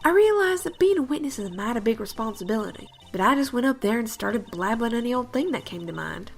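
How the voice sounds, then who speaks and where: High, lively woman as a brash Southern gal. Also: quick pace, creaky, faint music behind. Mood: sheepish, folksy. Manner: drawling, colloquial, pushy. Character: brash, folksy Southern woman, wheeling and dealing. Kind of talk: visual novel game fan dub acting.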